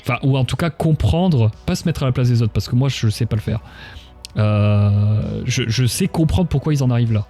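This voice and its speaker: A man's voice: mid-range voice, moderate pace, warm tone, soft background music. A man hosting a casual livestream interview, speaking in a hesitant, searching way and often repeating phrases. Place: live online stream.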